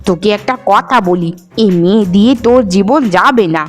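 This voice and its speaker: A woman's voice: medium pitch, a little fast, close to an everyday voice. A woman voicing a domineering, scornful middle-aged mother in a melodramatic cartoon, bossy and scolding. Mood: stern. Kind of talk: Bengali cartoon dubbing, melodrama. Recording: music behind the voice. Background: background music.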